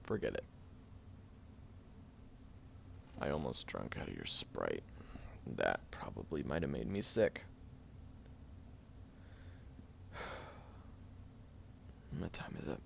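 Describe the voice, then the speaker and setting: A man's voice: very deep voice, huge pitch swings, normal speed, long pauses, muffled recording, slight background noise, heavily acted. A man playing a prickly, defensive young man who is sarcastic but secretly caring, in an audio roleplay spoken to the listener. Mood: exasperated, softer. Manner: gruff, sarcastic, flustered tsundere bickering.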